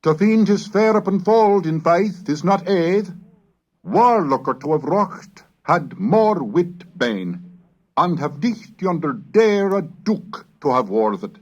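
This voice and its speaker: Man, muffled 1970s cassette sound, very unhurried pace, rhythmic verse recitation, declamatory, measured. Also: high-pitched, expressive, darkish tone. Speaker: reader of historical English texts. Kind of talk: recitation of Middle English alliterative verse. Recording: dull lo-fi 1970s cassette recording.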